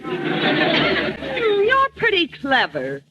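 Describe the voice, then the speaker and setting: An actress playing a haughty, scheming, glamorous gold-digging wife in a theatrical radio comedy style. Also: female voice, extremely high pitch, huge pitch swings, very slow, heavily acted. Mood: coy. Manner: theatrical, coolly melodramatic. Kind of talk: old-time radio comedy acting. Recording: noisy muffled old radio, loud studio audience.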